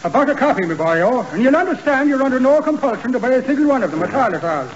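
An actor playing a blustering, folksy police sergeant who repeats himself, in a 1940s radio comedy. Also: male voice, extremely high pitch, very animated, fast. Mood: oily. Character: blustering police sergeant, oily then exasperated. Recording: muffled, noisy 1940s radio broadcast.

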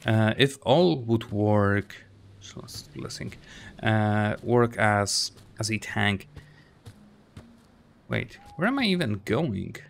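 A man's voice: fairly low pitch, animated, slow, gravelly, a little hiss or hum. A man giving casual commentary while playing a game, hesitant, with many fillers and restarts. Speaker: let's play host.

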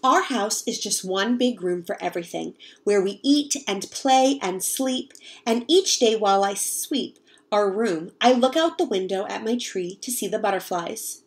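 A young woman reading a picture book aloud to children in an expressive, explanatory way. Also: mid-range voice, unhurried. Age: young adult. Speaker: read-aloud storyteller, teacher. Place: home kitchen, indoors.

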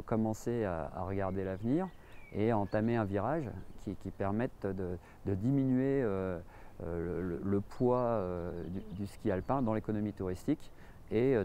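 A man's voice: fairly low pitch, warm tone, a little hiss or hum. A middle-aged man, giving an interview outdoors and searching hesitantly for his words. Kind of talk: documentary interview. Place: outdoors on a mountain terrace.

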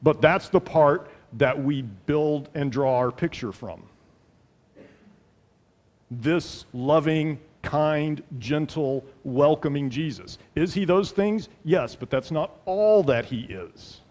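A middle-aged male pastor preaching with earnest emphasis, his voice sitting a little high for a man. He leans on repeated phrases to drive a point home. A headset mic catches him, with a long reverb trailing off the church hall. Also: takes it slowly, a little mellow. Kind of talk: sermon. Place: large church sanctuary, at a pulpit.

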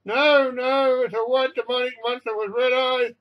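A man's voice: extremely high pitch, fairly even intonation, normal speed, dull audio, pitched above the performer's own voice. A man voicing a hysterical, terrified airline passenger in a story, shrieking and wailing melodramatically. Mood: doomed wailing.

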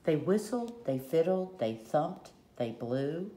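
A woman reading a children's picture book aloud in short, deliberate rhyming phrases, recorded at a table at home. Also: low-pitched, sing-song, dark tone. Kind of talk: read-aloud storytelling. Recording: roomy echo, faint background noise.

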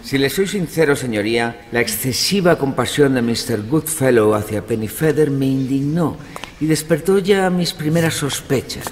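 A man playing a clever, self-assured gentleman who explains and narrates in a formal, measured style for an audio drama. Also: mid-range voice, slight background noise, close to an everyday voice. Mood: earnest, indignant.

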